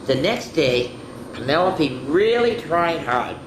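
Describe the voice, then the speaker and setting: Female children's story host, slow and chatty, in a roomy space with background noise. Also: deep voice. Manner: animated, chatty, playful asides. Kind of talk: reading picture books to kids.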